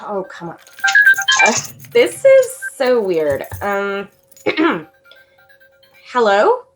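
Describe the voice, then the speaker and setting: A woman's voice: medium pitch, wildly swooping pitch, very slow, faint music behind, full character voice, rough recording. An actress in a radio drama playing a young woman at college, tipsy and stammering. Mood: subdued, stunned. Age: young adult. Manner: hesitant, stammering. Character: young woman, college student far from home, tender. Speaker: college student.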